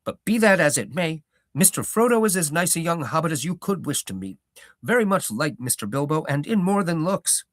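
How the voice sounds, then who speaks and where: A man's voice: fairly high pitch, big pitch swings, a little fast, dry recording, studio quality, pitched above the performer's own voice. A man voicing a garrulous old male hobbit gardener who rambles in a folksy, opinionated way. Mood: fond.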